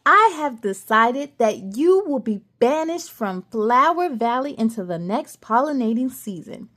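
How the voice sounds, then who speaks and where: A stern, regal fairy queen played by a woman reading aloud hands down judgment in a formal, commanding voice. The voice sits high and lingers over each word, swinging widely in pitch. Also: big pitch swings, unhurried, a bit bright, full character voice.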